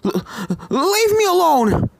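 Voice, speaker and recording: A man's voice: very high-pitched, sing-song, takes it slowly, voice pushed up, exaggerated voice. A man voicing a frantic, guilt-ridden female unicorn, stammering and shrieking in defiant panic in a dramatic story reading. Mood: terrified. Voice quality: raspy, shrieking. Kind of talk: dramatic fan fiction reading.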